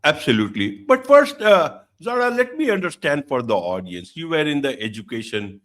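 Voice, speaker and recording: A man's voice: on the high side, very animated, moderate pace, a bit bright. A man hosting an online business show, welcoming his guest formally and asking emphatic questions. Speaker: host. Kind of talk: show host interviewing.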